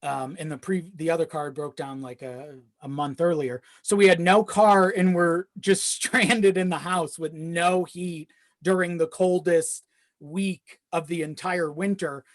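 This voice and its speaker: Podcast guest, mid-pitched voice, rambling casual storyteller with easy swearing, dry recording. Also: steady tone, measured pace, no room sound. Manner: rambling, often chuckling while talking, swears casually. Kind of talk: casual chat, personal storytelling.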